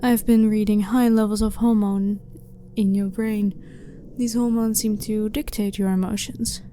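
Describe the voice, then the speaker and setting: A woman playing a blunt, clinical but harmless alien scientist in an ASMR sci-fi roleplay, speaking in a formal, measured, matter-of-fact way. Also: mid-range voice, little pitch movement, warm tone, lightly acted. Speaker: ASMR voice performer. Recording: clearly noisy ambient background.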